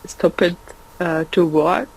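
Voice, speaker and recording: Hesitant woman learner, very slow. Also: on the low side, crowd noise, poor audio. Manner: hesitant, searching for words, laughing often. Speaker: language learner. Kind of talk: conversation practice.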